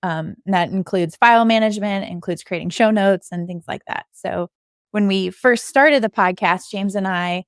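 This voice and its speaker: A woman's voice: mid-range voice, normal speed, smooth, dry recording, clean studio sound. A woman, a podcast co-host, giving a conversational technical explanation as a guest.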